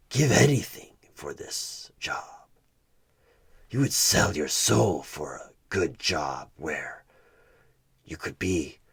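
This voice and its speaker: A man playing a sinister demonic tempter who poses as a job interviewer in a horror ASMR roleplay, coaxing with halting, menacing calm in a gravelly, breathy half-whisper. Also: rumbling low, heavily acted. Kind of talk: horror ASMR one-sided audio roleplay.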